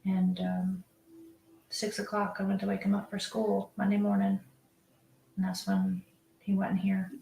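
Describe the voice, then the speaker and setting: A mother recounting the day in an interview, halting with many ums. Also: mid-range voice, completely flat delivery, gravelly, dark tone, roomy sound, slight background noise, rough recording. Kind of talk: recorded interview.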